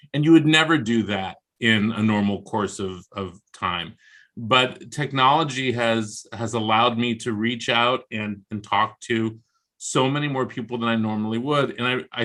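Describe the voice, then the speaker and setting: A man's voice: mid-range voice, a bit bright. An American man speaking casually as a guest author in a video interview, with a wry, comically exaggerating and self-deprecating manner. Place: indoors on a video call. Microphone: video call.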